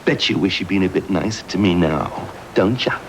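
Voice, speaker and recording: Resentful movie villain, very low pitch, smug, taunting, gloating delivery. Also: male voice, normal speed, background noise. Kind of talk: film villain acting.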